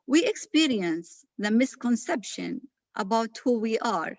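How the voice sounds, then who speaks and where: A woman's voice: on the high side, dry recording. Woman from Palestine, formal earnest presenter; dull lo-fi audio. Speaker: association president, presenter. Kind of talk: conference presentation.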